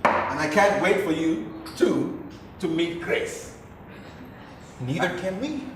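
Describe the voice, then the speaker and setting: A happy, smitten older businessman father in a stage play. He speaks slowly and cheerfully, with lively swings in pitch. The theatre recording is distinctly noisy. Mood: pleased, smitten. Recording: echoey theatre, distant mic, clear noise.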